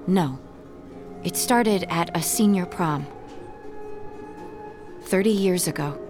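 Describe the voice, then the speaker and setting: A woman's voice: lowish voice, takes it slowly. An actress playing an anxious, self-doubting young woman artist in an audio drama, with a hesitant, earnest delivery. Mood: uneasy. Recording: music under the dialogue.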